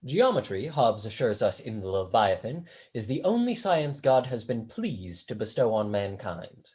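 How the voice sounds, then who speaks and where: A young man acting a courteous, drily witty tutor in a staged reading, formal and deferential, with a husky voice. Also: lowish voice, big pitch swings, moderate pace, a little mellow. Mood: dryly courteous. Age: young adult. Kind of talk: staged reading of a period comedy.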